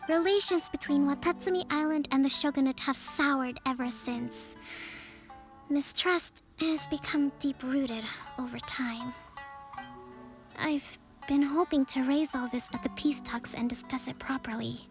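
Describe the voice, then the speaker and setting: A composed young priestess speaks with quiet authority in a game. Her voice sits high and clear, every sentence measured and formal. Soft music plays underneath. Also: fairly even intonation. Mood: calm. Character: young female divine priestess, calm and measured. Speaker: island leader.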